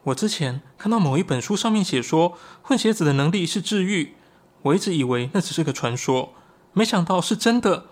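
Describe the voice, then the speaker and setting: An actor playing an earnest, excitable young shapeshifting tribesman in a Chinese audio drama, explaining things expressively. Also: fairly high pitch, a little fast. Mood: eager, excited.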